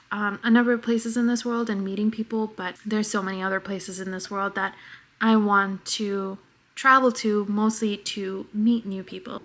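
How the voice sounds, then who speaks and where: Woman, twenties, very soft voice, soft-spoken, reflective, earnest vlog talk. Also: mid-range voice. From New York, United States. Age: 20s. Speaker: vlogger. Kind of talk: casual vlog monologue. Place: her apartment at home.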